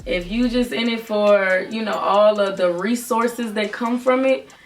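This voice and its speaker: Raspy young woman, talking from afar. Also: medium pitch, unhurried, a little hiss or hum. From Virginia, United States. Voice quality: naturally raspy voice. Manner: casual, earnest advice talk. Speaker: rapper and vlogger. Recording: voice from a distance with faint music.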